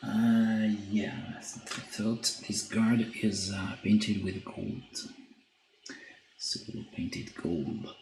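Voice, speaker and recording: A man with a French accent talks slowly and hesitantly, filling pauses as he paints and answers chat on a stream. His voice sits low with a gravelly edge. The room sounds echoey, with a faint hiss behind him. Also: steady tone, darkish tone. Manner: hesitant, many filler pauses, reads chat aloud. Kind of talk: casual live-stream chat while painting.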